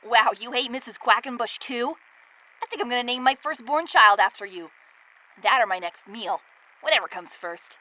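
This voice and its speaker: Bright, blunt schoolboy. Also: female voice, fairly high pitch, moderate pace, highs cut off. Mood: impressed. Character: blunt, squeamish schoolboy ballplayer. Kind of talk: old-time radio comedy sketch acting.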